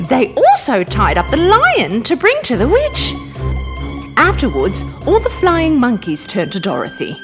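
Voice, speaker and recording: A woman narrating a scripted children's fairy tale in an expressive, dramatic way. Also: high voice, a little slow. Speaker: storyteller, narrator. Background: music.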